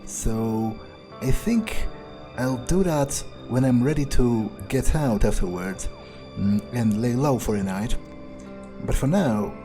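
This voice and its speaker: A man narrating a video game playthrough in character, thinking aloud in a hesitant, understated way. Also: medium pitch. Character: calculating, detached vampire mercenary for hire. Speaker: player voicing their character in a let's play.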